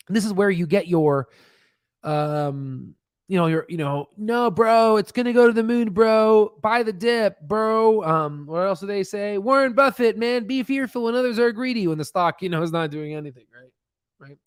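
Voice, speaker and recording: A man in his thirties hosting a livestream on stock analysis, slightly hoarse, animated and emphatic, repeating points, speaking close into a boom mic in a home studio. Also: dry recording. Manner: animated, emphatic, repeats phrases, drops to whispers. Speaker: stock channel host. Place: home studio room, indoors. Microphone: podcast mic on boom, close.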